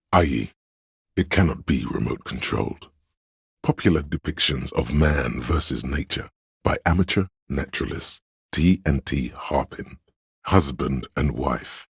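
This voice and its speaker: A learned, solemn inner voice of trivia for a video game. The pitch is exceptionally deep and the tone heavily husky, recorded close and dry. Delivery is measured and erudite, like scripted narration of obscure facts. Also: male voice, no room sound, full character voice.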